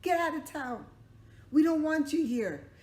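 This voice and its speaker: A woman voicing angry, fed-up townsfolk in a children's story, scolding loudly. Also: high voice, lively intonation, a little slow, voice pushed up. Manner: loud, exclaiming, scolding. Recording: roomy echo, background noise.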